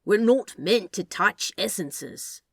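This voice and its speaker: A woman's voice: medium pitch, lively intonation, slow pace, creaky, a bit bright, breathy edge, dry recording, exaggerated voice. A woman voicing a wary, sardonic male demon in snake form for an audiobook story, with a dry, cautious delivery.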